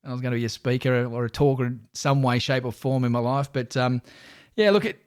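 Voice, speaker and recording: A man's voice: mid-range voice, a little fast, clear, resonant tone. Chatty Australian man in his forties. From Newcastle, Australia. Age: 40s. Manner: friendly, rambling with many restarts. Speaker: guest, stadium announcer. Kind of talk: casual podcast interview.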